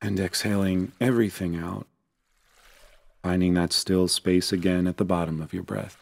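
A man narrating a guided meditation in a gentle, unhurried, soothing manner. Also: low-pitched, steady tone, gravelly, no echo.

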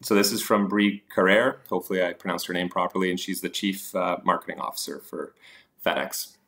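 A man's voice: deep voice, fairly even intonation, fairly quick, creaky, a bit bright. A man presenting investing slides as a host, explaining points informally with hesitant asides.

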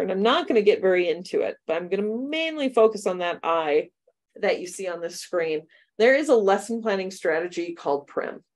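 A woman's voice: on the low side, darkish tone. An American woman, middle-aged, leading a computer science workshop for teachers over a video call, chatty and upbeat and laughing often. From United States. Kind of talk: webinar workshop demo. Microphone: webcam video call.

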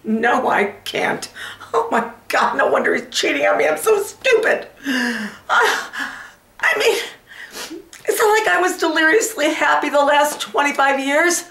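Brash, wounded middle-aged wife, played by an actress, volatile and melodramatic stage monologue, roomy echo. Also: female voice, sits high, expressive, unhurried, vocal fry, fairly bright tone, pitched above the performer's own voice, exaggerated voice. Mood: hysterical, indignant. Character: brash, wounded middle-aged wife, betrayed after twenty-five years. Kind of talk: comic dramatic audition monologue. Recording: echoey room.

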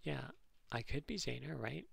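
A man with a nasal voice, hosting his channel and replying to comments in a halting, dryly sarcastic way, full of ums and restarts. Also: mid-range voice, animated, vocal fry, fairly bright tone, slight background noise. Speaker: channel host responding to comments. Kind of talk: casual commentary reading comments aloud.

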